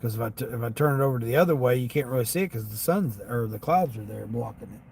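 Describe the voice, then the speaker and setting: A man's voice: medium pitch, fast talker, creaky. Folksy Southern-tinged American man, noisy vehicle. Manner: folksy, rambling. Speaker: livestream host, gig delivery driver. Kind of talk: casual livestream chat, replying to viewers. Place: inside a parked vehicle. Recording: steady vehicle and ambient noise.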